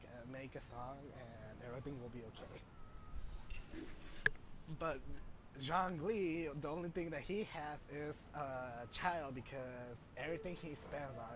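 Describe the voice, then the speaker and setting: A man giving casual game commentary as a streamer, halting as he searches for words. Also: mid-range voice, background noise. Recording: loud game music under voice.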